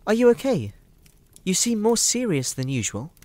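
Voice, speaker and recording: A man's voice: high-pitched, very animated, normal speed, noisy background, pitched above the performer's own voice. A man voicing an eager, affectionate young hobbit boy in a storybook audio drama. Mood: puzzled, curious.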